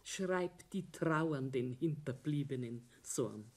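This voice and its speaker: An older widowed mother in a 1960s radio drama. She speaks slowly and plaintively, halting and clinging in her grief. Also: extremely low pitch, full character voice. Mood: quietly pointed. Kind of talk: 1960s German radio play acting.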